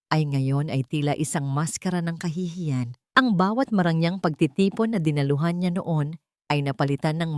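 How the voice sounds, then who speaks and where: A woman's voice: low-pitched, a little mellow, no echo, studio quality. A woman with a Filipino accent reading a scripted story as a dramatic audiobook narration.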